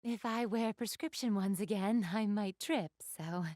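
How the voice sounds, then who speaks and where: A woman's voice: medium pitch, measured pace, a little mellow, no room sound, clean studio sound, lightly acted. A woman playing an elegant, doting big-sister goddess in a video game, speaking in polite, formal, refined phrases straight to the listener. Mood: sweet, coy. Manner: polite, formal, refined diction, gushing over what she adores. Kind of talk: video game character acting, addressing the listener.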